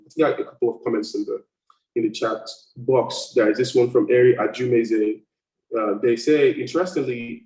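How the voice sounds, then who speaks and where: A man moderating a discussion panel, speaking in a measured, hesitant way and reading out comments. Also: mid-range voice, darkish tone. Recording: roomy, far from the mic.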